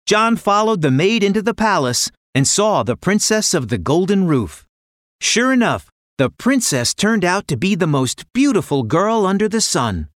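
A man's voice: high-pitched, fairly bright tone, no room sound, studio quality. A man narrating a children's fairy tale in a dramatic, playful storytelling manner. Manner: dramatic, playful sound words.

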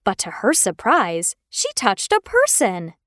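A woman narrating a scripted children's fairy tale in an expressive, careful way. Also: medium pitch, a little slow, no room sound, clean studio sound. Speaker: storyteller.

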